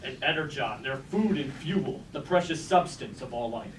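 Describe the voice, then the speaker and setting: A man's voice: medium pitch, animated, normal speed, slight background noise, close to an everyday voice. A man playing a somber Greek chorus member, declaiming short verse lines, heard from far off in an echoey room. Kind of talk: staged reading of verse tragedy. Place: indoor room at a live staged reading.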